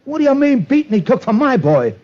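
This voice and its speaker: An actor playing a tough, defensive boxing manager with streetwise slang, in 1940s radio drama style. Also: male voice, extremely high pitch, sing-song, a little fast, a little mellow, full character voice. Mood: indignant, defensive. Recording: dull old radio recording.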